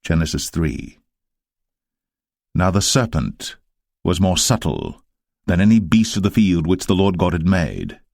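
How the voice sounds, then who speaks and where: A man narrating scripture as a dramatised reading, solemn and measured. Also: sits low, sing-song, gravelly, a little mellow, dry recording, clean studio sound.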